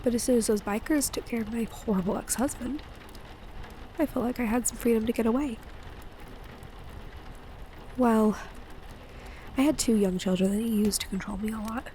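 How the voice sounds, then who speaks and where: An affectionate, playful wife , acted by a woman in an intimate audio roleplay. Her voice sits fairly high and often crackles into fry. She talks gently and teasingly over faint background ambience. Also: moderate pace, long pauses, natural voice. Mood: reflective. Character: affectionate, playful wife and mother sheltering a guest.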